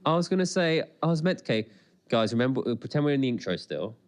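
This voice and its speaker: A man chatting casually as a podcast co-host commenting on a TV episode, in an excitable, rambling, jokey way. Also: medium pitch, quick pace, clear, resonant tone.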